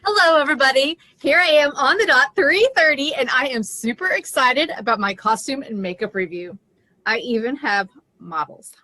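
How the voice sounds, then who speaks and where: A woman's voice: sits high, expressive, moderate pace, bright, forceful tone, dry recording, full character voice. A woman playing an eager, attention-seeking costume and makeup artist in an amateur theater comedy, perky and theatrical. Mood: eager, proud. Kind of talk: comedic web series acting.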